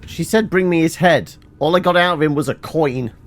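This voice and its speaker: A man's voice: a little high, normal speed, a little hiss or hum. A man giving casual, wry gameplay commentary with offhand asides as he streams. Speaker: game streamer.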